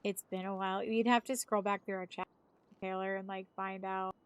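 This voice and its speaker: A woman's voice: medium pitch, level tone, moderate pace, clear, resonant tone, dull audio. A woman in her thirties, a live streamer chatting casually, rambling and swearing freely. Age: 30s. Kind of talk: casual chat.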